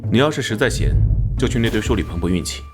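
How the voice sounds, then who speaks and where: An actor playing a no-nonsense male police captain in an animated crime drama, speaking in a firm, matter-of-fact manner. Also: fairly low pitch, fast, music in the background, natural voice. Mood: firm, dismissive.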